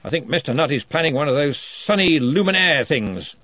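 A man voicing a big, jovial old wizard chancellor who talks loudly and heartily, with a faintly threatening cheer. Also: a little high, expressive, fairly quick, no room sound, voice pushed up.

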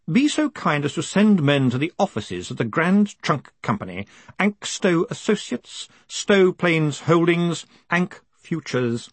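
A man voicing a calm, quietly commanding ruler with measured, formal, icily polite speech in an audiobook. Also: fairly high pitch, breathy edge, no echo, pitched above the performer's own voice, heavily acted. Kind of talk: audiobook character acting.

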